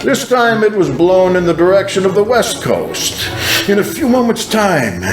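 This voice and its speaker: A man's slightly high voice rings with echo over music as he narrates an apocalyptic vision. He is solemn and theatrical, drawing out his phrases with very slow pacing. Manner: solemn, theatrical, drawn-out delivery. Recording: roomy echo under loud music.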